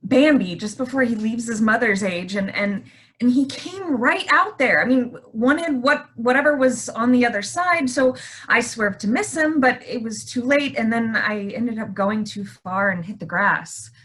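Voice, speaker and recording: A woman in a staged play reading as a shaken, soft-hearted wife, speaking haltingly and repeating words. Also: a little high.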